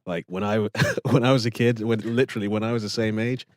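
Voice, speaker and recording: A man's voice: fairly low pitch, steady tone, fast, a little mellow. A man from Nigeria co-hosting a casual live stream chat, rambling with lots of fillers and laughs. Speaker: co-host.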